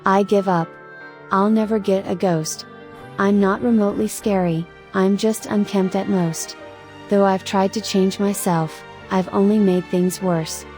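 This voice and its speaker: Man putting on a high, dramatic little cottage girl. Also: steady tone, measured pace, a little mellow, faint music behind. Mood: dejected. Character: young cottage girl, dejected, stubborn, wishes to be spooky. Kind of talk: children's storybook read aloud in character.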